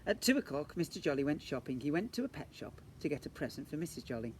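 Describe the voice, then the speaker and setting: Animated man reading a children's story outdoors. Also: a little high, fast. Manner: playful, addresses children with questions and asides. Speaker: teacher reading to young children. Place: outdoors in a garden. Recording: faint outdoor background noise.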